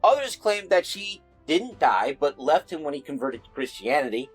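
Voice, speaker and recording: A man's voice: fairly high pitch, very animated, moderate pace, breathy edge, faint music behind. A man narrating folklore in a casual storytelling style, with wry joking asides.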